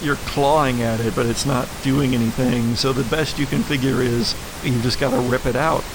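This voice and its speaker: A man's voice: medium pitch, fairly even intonation, normal speed, noisy background. A man working as game master, improvising narration for a tabletop story.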